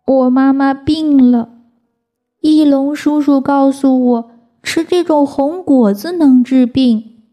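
A woman voicing a tearful, polite little baby dinosaur in a high childish voice. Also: takes it slowly, mellow, faint music behind. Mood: sad.

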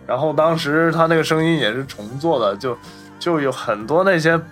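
A man's voice: fairly high pitch, moderate pace, fairly bright tone. Male podcast co-host over music. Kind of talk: casual film discussion.

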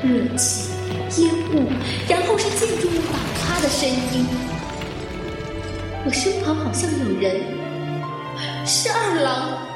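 A woman playing an injured fire survivor in an audio drama, with a dramatic, deliberate delivery. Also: sits high, sing-song, heavily acted. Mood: haunted, intense. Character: injured woman fire survivor, anguished then coolly guarded, narrating her ordeal. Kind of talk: Chinese audio drama acting with introspective narration. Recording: echoey hall-like reverb, music underneath.

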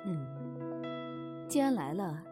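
A woman's voice: deep voice, big pitch swings, extremely slow, full character voice. A woman playing the mysterious, shrewd mistress of a spirit inn in a Chinese fantasy radio drama, teasing and commanding. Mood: calm, probing. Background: background music.

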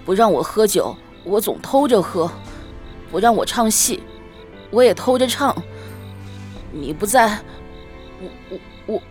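A woman's voice: medium pitch, a little mellow. A woman playing a doting, roguish young husband in a Chinese period romance audio drama, with a soothing manner. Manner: soothing, hesitant, playfully boastful.

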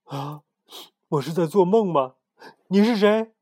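A shrill, panicky chubby chick, as a man puts him on, squealing high above a normal speaking voice and leaping all over the scale. He is jumpy and guarded about his secret. The voice sits close and dry, like a storybook reading. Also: sing-song, normal speed, no room sound. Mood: panicked.

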